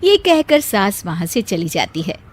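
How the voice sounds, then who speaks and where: A woman's voice: medium pitch, very animated, measured pace. A woman narrating a scripted cartoon story.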